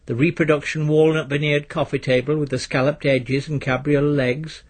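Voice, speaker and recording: A man reading a literary story as narrator in a measured, dry storytelling manner. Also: medium pitch, husky. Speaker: narrator, reader.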